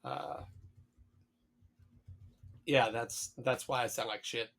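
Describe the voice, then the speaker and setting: Hoarse man rambling on a podcast. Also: medium pitch, slow, fairly bright tone. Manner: rambling, swears casually, many fillers and restarts. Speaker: podcast co-host. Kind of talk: casual chat.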